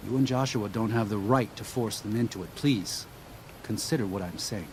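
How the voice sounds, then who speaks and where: An actor playing an earnest, weary pacifist missionary in a video game, moralising in a pleading manner. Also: male voice, lowish voice, normal speed, darkish tone, a little hiss or hum, lightly acted. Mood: pleading, frustrated.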